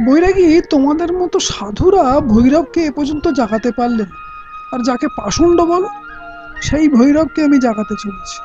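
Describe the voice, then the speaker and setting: A proud royal engineer in an audio drama, ruthless and boastful. The delivery is declamatory and mellow-dark in tone. The recording is lo-fi and muffled, with faint music behind. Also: male voice, very high voice, lively intonation, moderate pace. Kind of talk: Bengali audio play acting.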